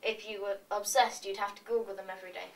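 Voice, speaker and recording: A woman reads a monologue from some way off the mic, with faint noise around her. Her delivery is very even in pitch, awkward and deadpan, like someone reciting a script. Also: fast talker. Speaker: reader of fans' confessions. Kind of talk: reading a scripted monologue of fan confessions. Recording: distant mic, roomy cellar, faint noise.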